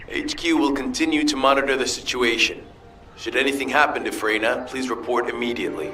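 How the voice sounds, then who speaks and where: A man's voice: medium pitch, fairly even intonation, fairly quick, bright, forceful tone, lightly acted. A man voicing a composed headquarters technician in a video game, reporting in a clipped, official way. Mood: businesslike. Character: businesslike male headquarters technician on comms, composed. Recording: distant, clear music behind.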